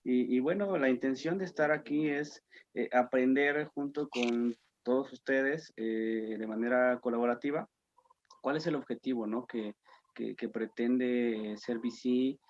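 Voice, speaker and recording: A man's voice: medium pitch, measured pace, no room sound. Man, dry muffled call audio. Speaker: class participant. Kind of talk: informal spoken self-introduction. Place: video call.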